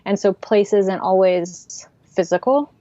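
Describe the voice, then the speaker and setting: A woman's voice: mid-range voice, a little slow, dark tone, no room sound. Thoughtful woman, dry and slightly muffled. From Pacific Northwest, United States. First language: Swedish. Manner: reflective, thoughtful, with frequent fillers. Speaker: podcast guest, writer and artist. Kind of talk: casual interview conversation.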